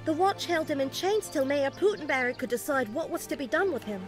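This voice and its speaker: A woman's voice: high-pitched, expressive, brisk, faint music behind. An actress playing a distressed, folksy village woman telling of bandit raids in a fantasy game. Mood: indignant.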